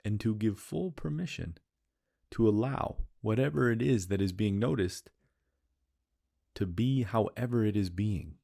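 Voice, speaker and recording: A man leading a guided meditation, speaking in slow, soothing phrases and repeating gentle cues. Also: fairly low pitch, gravelly.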